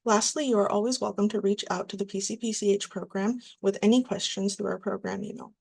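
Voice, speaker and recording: A woman presenting a webinar, reading from slides in a steady, scripted way. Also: mid-range voice, a little fast, vocal fry, slightly rough.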